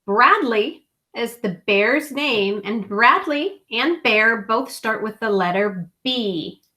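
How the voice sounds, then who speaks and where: A woman reads a children's story aloud in a high, bright voice. She goes slowly and deliberately, leaning on key words for young listeners. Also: animated.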